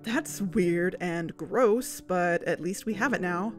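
A woman's voice: fairly low pitch, slow pace, faint music behind. A woman playing a blunt, sarcastic amateur investigator in a conversational audio drama. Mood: exasperated, blunt.

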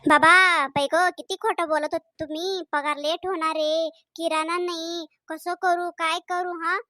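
A woman voicing a young married housewife in a comedy cartoon, chatty and quick to scold her husband. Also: extremely high pitch, flat delivery, bright, forceful tone, no room sound, clean studio sound, full character voice. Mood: indignant. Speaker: wife.

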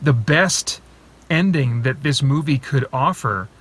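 A man's voice: medium pitch, slow pace. A man in his thirties giving a casual, rambling film review from inside a parked car, recorded on a phone held at arm's length. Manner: animated, rambling with many fillers and restarts. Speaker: vlogger reviewing films.

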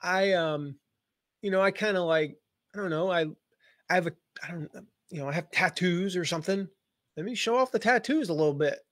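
A man's voice: high-pitched, a little fast, a bit bright, no room sound, studio quality. A man giving a casual live-stream business lesson, rambling and joking with lots of fillers and restarts. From Southern United States. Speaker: online business coach.

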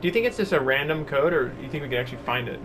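A man's voice: medium pitch, expressive, very fast. Goofy man gaming over noisy background. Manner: jokey silly slang, mild mock insults. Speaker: gaming channel host, player. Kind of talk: casual playthrough chat. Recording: noisy, game sound behind voice. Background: horror video game ambience.

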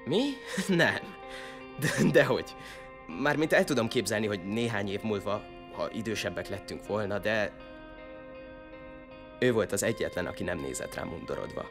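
A man playing an animated, earnest young outcast. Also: fairly low pitch, natural voice. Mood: flustered, defiant. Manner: earnest, easily flustered. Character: earnest young outcast lad, defiant, eager for revenge. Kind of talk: audio drama acting. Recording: music behind the voice.

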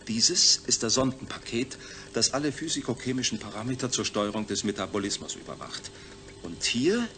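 Ein Schauspieler spielt in einem deutschen Hörspiel einen jovialen Mediziner, der sachlich doziert. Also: male voice, lowish voice, sharp tone. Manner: didactic, technical. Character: jovial, lecturing male medical scientist. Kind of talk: German radio play acting.

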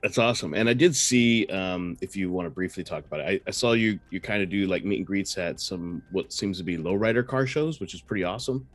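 A man in his thirties hosting a casual interview over a headset, upbeat and quick to agree. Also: deep voice. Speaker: podcast host.

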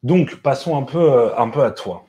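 A man's voice: medium pitch, lively intonation, warm tone. A man hosting a casual live stream interview in a living room, chatty and joking. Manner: fast, chatty, jokes. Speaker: host. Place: apartment living room at night, indoors.

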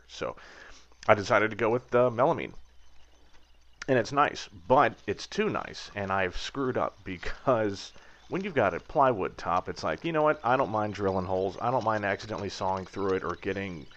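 A man's voice: lowish voice, a bit bright. A man in his thirties giving a rambling, wry voice-over about his workshop build, slipping into mock rants. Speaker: aircraft builder vlogger. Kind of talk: casual voice-over commentary. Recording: slightly dull lo-fi sound.

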